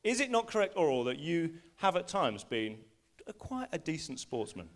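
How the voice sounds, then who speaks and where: A man's voice: fairly high pitch, moderate pace. A man preaching at a live church service, bringing people up from the congregation and building his points with rising emphasis. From England. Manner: animated, rising emphasis when making points. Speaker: pastor. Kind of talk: live sermon with volunteers. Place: school hall church service.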